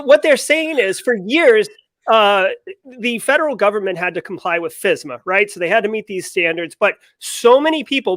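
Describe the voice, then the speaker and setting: A man, a cybersecurity expert on a live panel, speaking in an animated, emphatic way over a video call. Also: high-pitched, dry recording. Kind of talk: energetic panel discussion.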